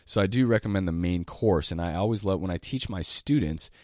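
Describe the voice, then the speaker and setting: Man, very low voice, sick and rough, casual podcast host, rambling, dry close sound. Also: fairly quick, a little mellow, no echo. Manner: rambling and eager, with many restarts and occasional coughs. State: sick, voice lower than usual. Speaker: podcast host and jazz piano teacher. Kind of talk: casual solo podcast monologue.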